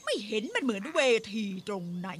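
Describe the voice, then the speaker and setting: A woman's voice: medium pitch, huge pitch swings, fairly quick, background noise. A woman voicing a bossy, skeptical female animal in a cartoon.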